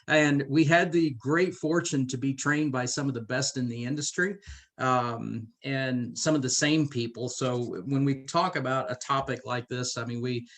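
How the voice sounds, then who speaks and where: A man's voice: medium pitch, fairly even intonation, moderate pace, fairly bright tone. A middle-aged man hosting a conversational business training interview in an enthusiastic, encouraging way. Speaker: host.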